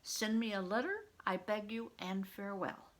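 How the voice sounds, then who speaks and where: A woman's voice: mid-range voice, steady tone, normal speed, lightly acted. A woman voicing an earnest young boy, an eager pupil with old-fashioned formal phrasing, in a storybook. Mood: earnest, plaintive.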